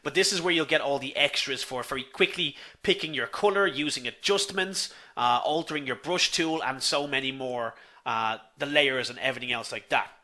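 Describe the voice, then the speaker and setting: A man's voice: mid-range voice, moderate pace, few pauses, sharp tone. A man with a Dublin Irish accent teaches a live online class, chatty, calling his viewers folks and reading their comments aloud. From Ireland. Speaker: online instructor.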